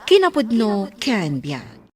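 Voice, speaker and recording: Slow-reading Ilocano woman radio host. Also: medium pitch, wildly swooping pitch, a little mellow, faint music behind.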